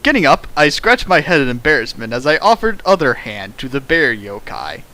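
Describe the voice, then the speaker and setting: A man's voice: fairly high pitch, very animated, normal speed, sharp tone, rough recording. A young man reading a bad fanfic aloud and riffing on it with sarcastic, exasperated rants. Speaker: fanfic riffer. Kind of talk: comedic dramatic reading and riffing.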